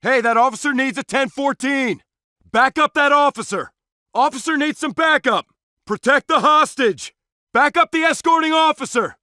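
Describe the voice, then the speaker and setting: A man's voice: extremely high pitch, bright, forceful tone, dry recording, studio quality, full character voice. A male actor voicing a tough, commanding SWAT officer in a video game, barking clipped, urgent, authoritative callouts. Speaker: police officer player character.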